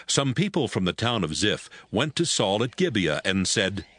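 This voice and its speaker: A man's voice: on the low side, very animated, moderate pace, a bit bright. A man giving scripted narration of a Bible story as the narrator.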